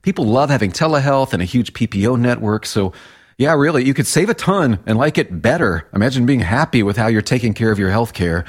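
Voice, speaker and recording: A man's voice: medium pitch, lively intonation, a little fast. A man speaking conversationally as the spokesman in a radio advertisement.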